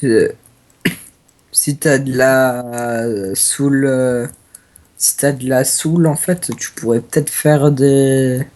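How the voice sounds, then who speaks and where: A young man with a cold chatting slowly and crudely while gaming, hesitant with fillers. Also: mid-range voice, fairly even intonation, a little mellow. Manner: slow, hesitant, crude banter. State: stuffy, has a cold. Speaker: gaming guest. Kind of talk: casual gaming chat.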